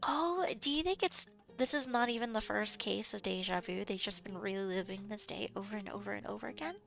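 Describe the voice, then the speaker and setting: A woman with an even mid-range voice talks through a story-driven game in relaxed stream commentary, making dry asides and reading on-screen text aloud. Soft game music sits faintly behind her. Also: mid-range voice, a little fast, vocal fry. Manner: chatty, wry, reads game text aloud. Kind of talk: casual let's-play commentary.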